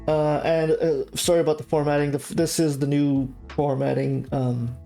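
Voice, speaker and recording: A man hosting a casual livestream art class, talking informally and haltingly, with a slightly sore throat from a cold. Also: a little high, little pitch movement, creaky, warm tone, faint music behind.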